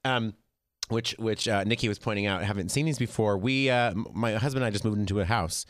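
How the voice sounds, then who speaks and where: A hoarse man hosting a studio talk show, chatting casually with a guest in a chatty, self-deprecating way. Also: on the low side, brisk, no room sound. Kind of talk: casual chat.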